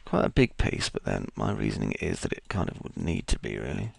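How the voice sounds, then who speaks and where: Croaky guy walking thru a 3d modeling tutorial, super gravelly, swings up and down a lot. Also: very deep voice, sing-song, brisk. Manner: casual, talks through each step as he works. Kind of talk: screen-recorded software tutorial.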